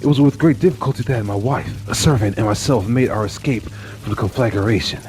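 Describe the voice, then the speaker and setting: A man narrating a horror story in the first person, intense, dropping to hushed whispers and rising into anguished outbursts. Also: on the low side, a little fast, over music. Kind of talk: dramatic horror story reading.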